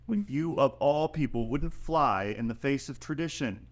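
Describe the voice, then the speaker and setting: An actor playing a cocky, teasing nineteen-year-old prince at a screenplay table read. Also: male voice, medium pitch, animated, normal speed, close to an everyday voice.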